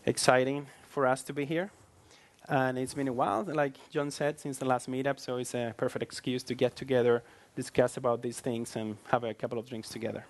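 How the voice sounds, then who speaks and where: A man with a Spanish accent giving a relaxed, joking presentation at a tech meetup, with many filler sounds. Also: mid-range voice. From Spain.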